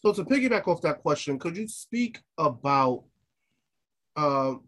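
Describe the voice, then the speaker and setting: A man interviewing a singer, asking questions in a halting, hedging way. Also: on the high side, animated, fairly bright tone.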